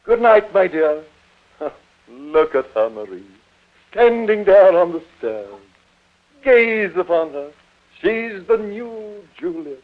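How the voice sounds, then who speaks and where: A theatrical, domineering father, high-pitched. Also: sing-song, takes it slowly, heavily acted. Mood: fond. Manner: declamatory, stagey, precise diction. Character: domineering, theatrical middle-aged father training his actress daughter. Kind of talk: old-time radio drama acting. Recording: tinny, band-limited old radio recording.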